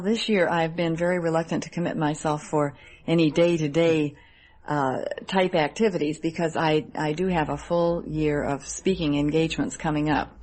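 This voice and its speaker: A woman's voice: deep voice, a little mellow. A woman in her thirties with a Midwestern American accent, answering interview questions in an earnest, articulate way. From Midwest United States. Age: 30s. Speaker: interview guest, military wife.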